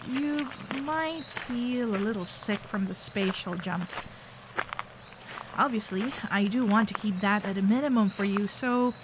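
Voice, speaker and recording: Unhurried, shy fox-spirit girlfriend, acted by a woman. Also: medium pitch, noisy background, natural voice. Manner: hesitant, flustered, caring, softly halting. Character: shy, sweet, protective young female kitsune girlfriend. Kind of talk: ASMR roleplay acting.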